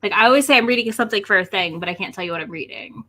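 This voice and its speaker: A woman's voice: mid-range voice, very fast, bright, forceful tone. A woman hosting an online readalong, chatting casually and playfully teasing the others about books. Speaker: host of an online book readalong livestream.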